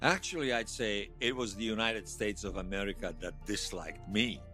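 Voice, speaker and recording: A man's voice: lowish voice, moderate pace, bright, forceful tone, faint music behind. An actor playing a wry, folksy Bosnian man who tells his past in an audio drama interview. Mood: wry, animated. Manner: wry storytelling with colourful folk sayings. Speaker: storyteller being interviewed.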